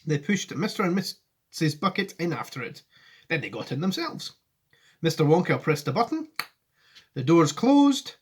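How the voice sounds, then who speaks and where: A man's voice: on the high side, big pitch swings, normal speed, pauses often, husky. A man in his forties, a teacher reading a children's story expressively and addressing his pupils in a friendly way at home. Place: living room at home. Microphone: device camera at arm's length.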